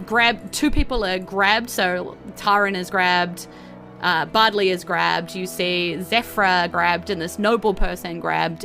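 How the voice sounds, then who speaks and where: A woman's voice: on the low side, gravelly, pushed and bright. A woman with a New Zealand accent, narrating a tabletop game as dungeon master in casual, improvised style.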